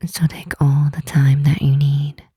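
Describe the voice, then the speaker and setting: A woman plays a powerful demon queen who is gentle, earnest and protective. Her low voice is very breathy and half-whispered, with a dark, mellow tone. She speaks slowly and tenderly, close and intimate, in an ASMR roleplay. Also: flat delivery, no echo, heavily acted. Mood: protective, reassuring. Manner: slow, tender, solemn, with deliberate pauses. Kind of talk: ASMR roleplay, soft intimate monologue to the listener.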